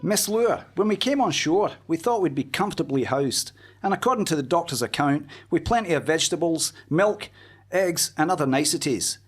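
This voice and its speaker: A man's voice: fairly high pitch, animated, moderate pace, husky. A man playing a weary quarantined emigrant. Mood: complaining. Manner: plaintive, letter-like recounting. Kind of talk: amateur radio play acting.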